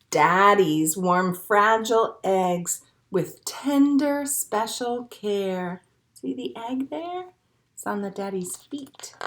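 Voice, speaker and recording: A woman leading a children's storytime as a librarian, reading picture books and rhymes aloud in simple, encouraging phrases. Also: a little high. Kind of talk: animated read-aloud storytime for young children.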